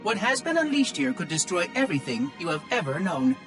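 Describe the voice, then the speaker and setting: A man voicing a prim, fretful ancient machine intelligence in a video game cutscene, over a loud score. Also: high-pitched, big pitch swings, brisk, over music.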